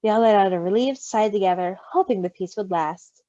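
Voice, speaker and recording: A woman's voice: medium pitch, a little fast, no room sound. A woman reading fan fiction aloud expressively, with gushing, casual asides. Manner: expressive, gushing, giggly reactions. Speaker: story reader. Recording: dull, lo-fi close recording.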